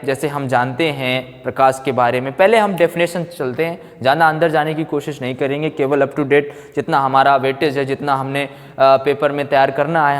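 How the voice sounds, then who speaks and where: A man teaching a science class, repeating phrases, asking check questions and mixing in English technical terms. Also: on the high side. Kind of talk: classroom physics lecture for exam students. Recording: echoey room with long reverb.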